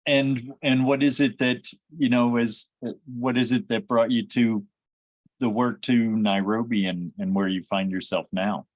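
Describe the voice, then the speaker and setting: A man hosting an interview, halting and often restarting his phrases. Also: mid-range voice, steady tone, no echo. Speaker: podcast host. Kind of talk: conversational interview.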